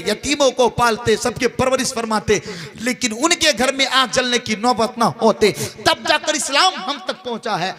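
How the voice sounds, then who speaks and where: High-pitched, forceful man preaching. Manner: exhorting, emphatic, rhetorical repetition and questions. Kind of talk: impassioned religious sermon.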